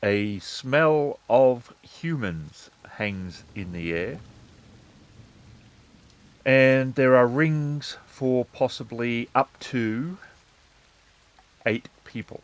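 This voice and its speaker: A male storyteller sets scenes for a tabletop roleplaying game over rain ambience. His voice is of medium pitch and his pace is very slow, giving out description piece by piece.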